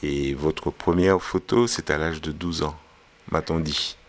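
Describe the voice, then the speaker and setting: A man interviewing a guest, asking slow leading questions with fillers. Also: rumbling low, fairly even intonation.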